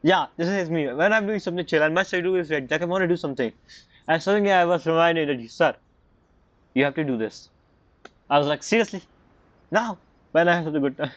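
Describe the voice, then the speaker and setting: A man giving casual, halting let's-play commentary in non-native English phrasing. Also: on the high side, no echo. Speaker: game streamer. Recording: muffled, game music behind.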